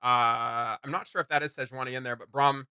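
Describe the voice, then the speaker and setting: A man's voice: medium pitch, steady tone, brisk, sharp tone, smooth, dry recording, studio quality. A man co-hosting a reaction show, giving casual, enthusiastic and rambling commentary in an informal way.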